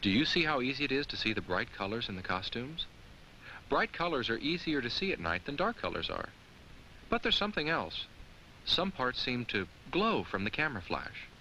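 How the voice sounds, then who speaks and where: An actor playing a friendly, earnest talking jack-o'-lantern, gently instructing children in the scripted style of a 1980s educational film. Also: male voice, medium pitch, very animated, moderate pace, slight background noise, rough recording. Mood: kindly, instructive.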